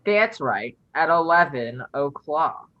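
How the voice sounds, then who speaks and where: A woman's voice: low voice, animated, takes it slowly. A woman playing a curt, commanding male criminal in a suspense radio play, heard through dull, narrowed sound. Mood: curt, controlled. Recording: dull, band-limited sound.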